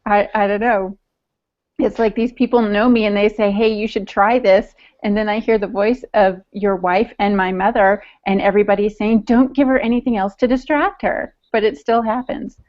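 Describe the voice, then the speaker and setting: A woman's voice: medium pitch, steady tone, normal speed. A middle-aged American woman chatting casually as a podcast co-host over a video call. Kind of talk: casual chat. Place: home room. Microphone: earphone headset on video call. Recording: dull, lo-fi video call audio.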